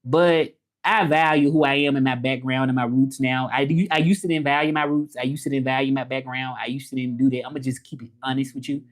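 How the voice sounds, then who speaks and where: A man with a Southern accent from Memphis hosts a casual livestream chat. His pitch is average, and he talks informally, rambling a little and circling back to repeat his points. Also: steady tone, fairly quick. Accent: Memphis Southern American. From Memphis, southern United States. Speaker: livestream host. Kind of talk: casual livestream chat about learning languages, reading comments.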